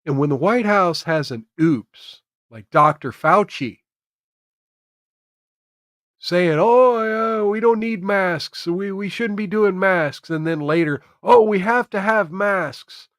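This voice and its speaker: Man, American accent, fairly high pitch, dry sound, emphatic opinionated streamer, repetitive phrasing. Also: slow, a bit bright, no room sound. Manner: emphatic, repeats phrases for effect. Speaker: host of a live political commentary stream. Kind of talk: opinionated political commentary to camera.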